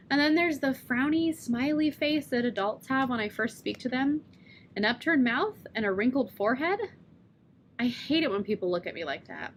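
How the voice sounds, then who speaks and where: A woman reads a children's novel aloud in the first person, keeping a gently wry tone. Her voice sits fairly high, and faint noise runs under it. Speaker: reader.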